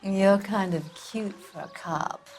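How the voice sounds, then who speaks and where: A woman's voice: mid-range voice, slow, a little mellow, slight background noise. A woman voicing a flirtatious, haughty former film actress who waves off questions in a drowsy drawl. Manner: flirtatious, dismissive, slightly drowsy. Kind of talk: video game cutscene acting.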